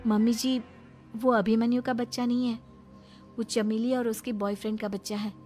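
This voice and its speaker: A wronged young wife a woman acts her out speaks in a high, emphatic voice over music. She is sincere, firm and dignified, in the manner of a cartoon melodrama. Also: little pitch movement, normal speed, a little mellow, close to an everyday voice. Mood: earnest, revealing. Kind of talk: melodramatic Hindi cartoon voice acting.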